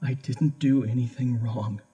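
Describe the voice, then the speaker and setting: A man's voice: mid-range voice, fairly even intonation, unhurried, mellow, breathy edge. A man playing a distraught, defensive young husband under interrogation in an audio drama. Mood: weary, defensive.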